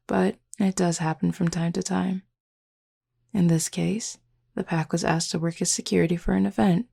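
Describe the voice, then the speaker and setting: A confident, flirty werewolf woman acted by a woman for an intimate audio roleplay. Her voice is on the low side and strongly gravelly, with very little melodic movement. She teases smugly and takes her time. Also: warm tone, exaggerated voice. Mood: matter-of-fact. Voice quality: husky, gravelly. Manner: teasing, unhurried, smug. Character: flirty, teasing, confident adult female werewolf pack member.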